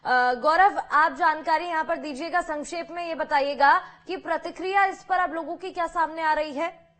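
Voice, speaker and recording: A woman with an Indian accent and a high-pitched voice. She anchors a live news broadcast, addressing reporters and putting questions to them. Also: normal speed, fairly bright tone.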